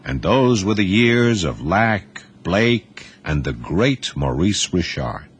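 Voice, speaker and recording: A man reading a novel aloud as narrator, in a lively storytelling manner. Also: low voice, slow pace, husky. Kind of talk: scripted audiobook narration.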